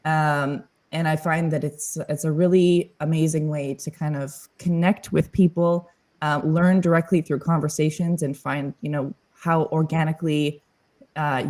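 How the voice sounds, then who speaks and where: A woman who hosts a podcast, talking upbeat and casually on a video call with lots of fillers. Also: deep voice. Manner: upbeat, with many fillers and soft laughs. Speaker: podcast host, panel guest. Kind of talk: casual panel conversation.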